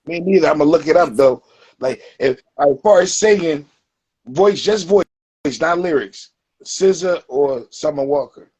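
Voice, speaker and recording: A man hosts a relaxed interview, playful and teasing. His voice sits a little higher than most men's. He keeps the talk moving with short prompts and quick reactions. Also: slightly rough, no echo, rough recording. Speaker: interview host. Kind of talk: casual interview chat.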